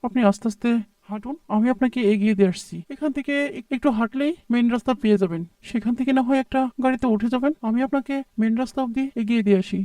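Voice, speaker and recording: A man's voice: very high-pitched, warm tone, no room sound, recorded from a distance. A man voicing a scheming autorickshaw driver in a cartoon, sly and ingratiating. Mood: falsely concerned. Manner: ingratiating aloud, slow and sly when plotting. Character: scheming male autorickshaw driver, falsely polite to his passenger. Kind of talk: dramatic cartoon dialogue.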